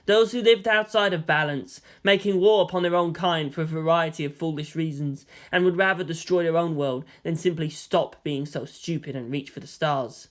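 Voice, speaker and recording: A male storyteller reads dramatic fiction in a scripted narration style. His phrasing is expressive and deliberate, and his voice is fairly high for a man.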